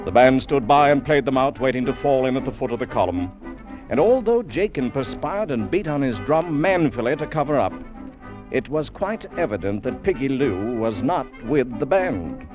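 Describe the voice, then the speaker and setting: A dramatic storyteller of army life, played by a man, narrating with animated rises and falls. Music plays clearly behind him on a muffled old radio recording. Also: mid-range voice, moderate pace, natural voice. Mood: grave, descriptive. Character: soldierly storyteller narrating a regiment's march to war.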